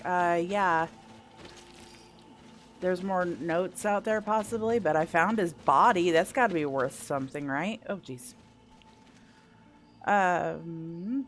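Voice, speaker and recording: A woman's voice: on the low side, a little hiss or hum. A woman doing casual playthrough commentary, thinking aloud and asking herself questions as she goes. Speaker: gameplay host.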